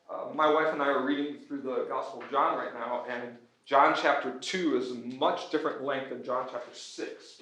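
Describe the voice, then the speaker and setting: A man giving an earnest, informal conference talk as a pastor and teacher, often checking in with his listeners with a quick "right?". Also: mid-range voice, fairly bright tone, slight background noise. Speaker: pastor, schoolteacher and conference speaker. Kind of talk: conference lecture with audience questions. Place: room at a church and school, before an audience. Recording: echoey room.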